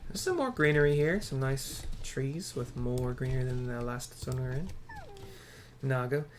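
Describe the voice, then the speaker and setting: A man's voice: medium pitch, moderate pace. A man doing casual gameplay commentary, muttering offhand and playfully to himself. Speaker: gamer, video host.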